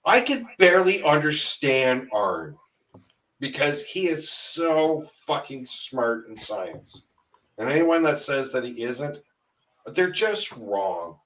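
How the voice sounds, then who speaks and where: A man's voice: medium pitch, very animated, takes it slowly, fairly bright tone, distant mic, poor audio. A man telling a story with much laughter and animation as a guest in a casual stream chat, on a video call.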